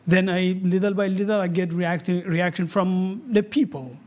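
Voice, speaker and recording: A man in his thirties from Afghanistan, speaking English as a with many pauses and fillers, interviewed on stage about his cartoons. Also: high voice, steady tone, darkish tone. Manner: hesitant non-native English with many fillers. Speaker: cartoonist guest. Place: event hall on stage. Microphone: handheld mic held loosely.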